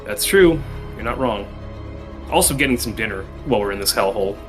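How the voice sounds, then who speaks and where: A man playing a tabletop game, chatting informally and thinking out loud, with a sweary streak. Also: on the low side, expressive, fairly quick. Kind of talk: casual game chat. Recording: music playing behind the voice. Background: music.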